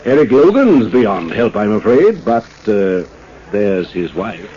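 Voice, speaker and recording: An actor playing a smooth-talking, scheming industrial promoter in a 1940s radio drama, politely insinuating with hesitations. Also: male voice, fairly low pitch, big pitch swings, slow, dark tone. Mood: oily, cautious. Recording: muffled, hissy 1940s radio recording.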